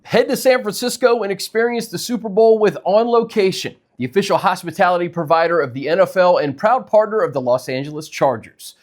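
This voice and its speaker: A man with a high-pitched voice announces an advertisement. The delivery is a scripted, promotional read that runs through the offer point by point. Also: animated, fairly quick, hardly any pauses.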